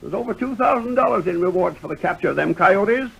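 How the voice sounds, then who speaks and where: An actor playing a proud, excitable old prospector in a radio western, gravelly, with a folksy American frontier way of talking. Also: male voice, high-pitched, a little fast, highs cut off, full character voice. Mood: indignant. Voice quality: gravelly, creaky old-timer voice. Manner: folksy frontier dialect, crotchety. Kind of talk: 1930s-40s radio western acting. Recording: noisy vintage radio recording.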